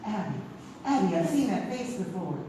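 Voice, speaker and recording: An actress playing a mild, fretful elderly maiden aunt in a stage comedy. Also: female voice, mid-range voice, big pitch swings, normal speed, close to an everyday voice. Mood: alarmed. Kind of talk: theatrical stage comedy acting. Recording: very echoey noisy theater, distant.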